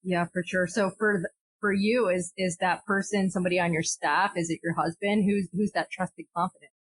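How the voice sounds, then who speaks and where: A woman's voice: fairly low pitch, fairly even intonation, fairly quick, husky. A woman hosting a podcast who asks questions eagerly and affirms her guest. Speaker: podcast host. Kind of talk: podcast interview.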